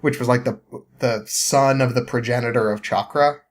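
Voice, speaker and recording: A man with a mid-pitched voice recaps a story in a loose, conversational way. He rambles and hesitates, feeling for the next bit, and sounds amused by what he is telling. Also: steady tone. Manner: halting, rambling, amused, often chuckling. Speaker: podcast guest.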